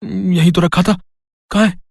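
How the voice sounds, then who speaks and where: A man's voice: high-pitched, steady tone, measured pace, gravelly, a little mellow, no room sound, clean studio sound, heavily acted. A man playing a hapless, bewildered village thief in a cartoon moral story, exclaiming and pleading dramatically. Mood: bewildered, alarmed. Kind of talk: animated Hindi moral story acting.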